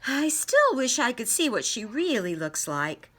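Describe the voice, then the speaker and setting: Woman voicing an earnest little girl, pitched high. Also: very animated, unhurried, a bit bright, heavily acted. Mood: wistful, pleading. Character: earnest, hopeful little girl who believes in fairies.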